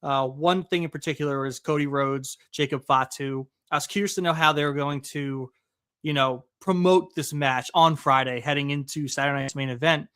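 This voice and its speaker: A man co-hosting a casual podcast, his voice bright and ringing and pitched a little high. He talks eagerly and conversationally, with plenty of fillers, into a close mic in a dry room. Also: clear, resonant tone, no room sound. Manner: excited, quick, conversational with filler. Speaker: podcast co-host. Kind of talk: casual wrestling podcast chat.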